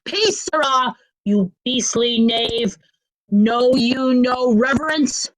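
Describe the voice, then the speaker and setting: A woman playing a stern, commanding duke in Shakespearean reader's theatre, measured and imperious. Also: a little high, animated, no echo, rough recording. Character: Cornwall, commanding stern duke handing out orders.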